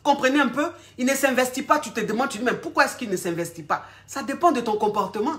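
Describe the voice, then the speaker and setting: Animated woman coach with a cold. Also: on the high side, fast. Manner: animated, repetitive, calls the listener "ma chérie". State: has a cold. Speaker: relationship coach. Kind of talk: casual advice vlog talk.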